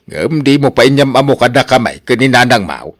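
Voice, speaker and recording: A man plays a middle-aged father in a radio drama. The voice is mid-pitched and lively, dry and close, with an Ilocano accent and a nagging manner. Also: brisk, dry recording. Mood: nagging, curious. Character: nagging middle-aged father pressing his child to marry.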